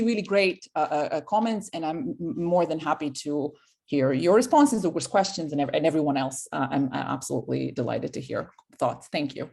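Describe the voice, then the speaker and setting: A woman's voice: low-pitched, vocal fry, darkish tone, breathy edge. A woman from Croatia, an author talking in an online panel discussion, hesitant with many restarts.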